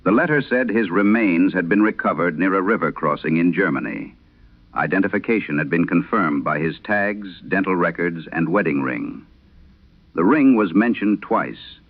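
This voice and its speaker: A man narrating as a troubled wife reading her haunted letter in a vintage horror radio drama, solemn and measured. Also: low voice, expressive. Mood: somber, uneasy. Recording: dull lo-fi vintage radio sound.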